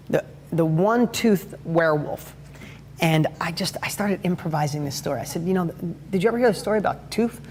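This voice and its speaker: A middle-aged man with a high-pitched voice, giving a motivational talk and telling stories with enthusiasm and acted-out quotes. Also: vocal fry, in a large hall, a little hiss or hum. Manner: enthusiastic storyteller, acts out quotes. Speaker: speaker on sales and parenting.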